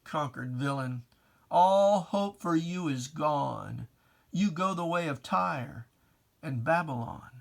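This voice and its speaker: A reader delivers religious poetry as a theatrical recitation. The pace is very measured, with weighty pauses between short phrases. The pitch sits in a middle register, and the address is solemn, stern and taunting. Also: expressive, gravelly. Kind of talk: dramatic recitation of religious rhyming poem.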